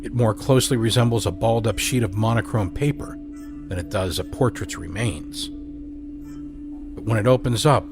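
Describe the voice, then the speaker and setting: Soft, measured male narrator. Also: on the low side. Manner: slow, soft, measured reading. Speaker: narrator and host. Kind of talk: scripted narration. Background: music score.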